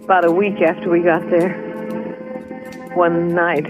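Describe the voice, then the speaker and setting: An actress playing a guilty nurse who confesses in a subdued, halting way in an old radio drama. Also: female voice, fairly low pitch, little pitch movement, over music, close to an everyday voice. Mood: subdued confession. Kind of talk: 1940s radio acting. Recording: slightly noisy old radio recording.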